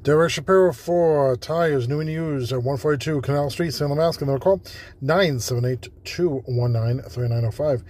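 A man reading a local radio ad, brisk and repeating the details. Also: fairly high pitch, darkish tone. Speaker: ad announcer. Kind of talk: read radio ad.

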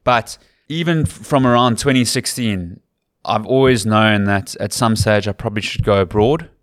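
Man, thirties, Cape Town accent, low voice, dry recording, earnest conversational storytelling, interview guest. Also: moderate pace, no echo. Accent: South African, Cape Town. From South Africa. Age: 30s. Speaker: rugby commentator, podcast guest.

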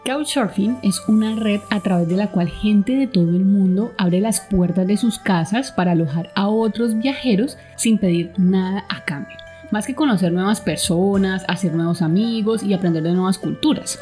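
Colombian woman podcast host, mellow voice. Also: mid-range voice, moderate pace, soft background music. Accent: Colombian Spanish. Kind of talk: travel podcast talk, partly scripted.

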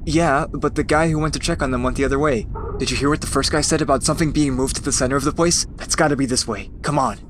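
A man's voice: medium pitch, quick pace, a little hiss or hum, natural voice. An actor in an audio drama playing a curious, reckless teenage boy. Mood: urgent, eager.

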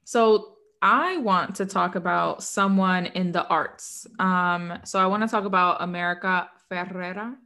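A woman's voice: fairly low pitch, unhurried, few pauses, sharp tone. A woman from Puerto Rico co-hosting a podcast in casual chat, chatty and laughing often, with lots of "like.". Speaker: podcast co-host.

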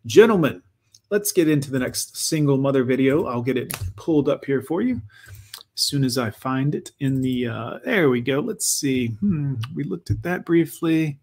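A man's voice: medium pitch, animated, moderate pace, darkish tone. A man hosting a reaction commentary, talking over a video with mocking, sarcastic asides.

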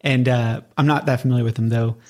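Male American interviewer, mid-pitched, easygoing podcast-coach chat, informal and loosely phrased. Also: steady tone, fast, a little mellow.

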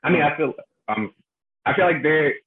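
A man's voice: fairly high pitch, lively intonation, breathy edge, no room sound. A man from South Carolina, giving his view reflectively in a casual panel discussion. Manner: reflective, measured with fillers. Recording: muffled phone-like line.

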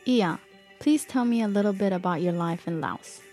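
A woman's voice: medium pitch, moderate pace, mellow. A woman from Ethiopia reading scripted narration as the host of a podcast. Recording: music under the voice. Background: background music.

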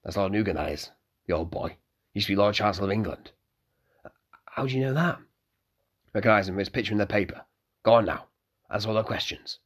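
A brisk innkeeper father, voiced by a man speaks low and quietly to his son in an audiobook. His voice is low and plain, firm with instructions. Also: husky. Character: gruff grown-up innkeeper father.

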